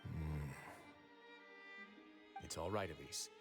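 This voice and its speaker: Deep-voiced, commanding duke. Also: male voice, over music. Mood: reassuring, resolute. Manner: measured, commanding, deliberate. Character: stern, composed duke, authoritative and shrewd interrogator. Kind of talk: video game voice acting.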